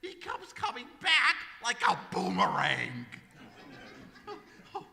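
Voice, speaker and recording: Frazzled male clerk, old radio comedy. High and gravelly, whiny stammer, live hall sound. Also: huge pitch swings, slow, crowd noise, heavily acted. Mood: frantic exasperation. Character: frazzled, hysterical male department store clerk. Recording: echoey hall, live stage performance.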